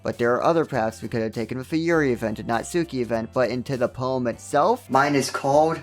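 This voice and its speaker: A man's voice: mid-range voice, quick pace. A man narrating a comedic game review with sarcastic, self-deprecating jokes. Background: music.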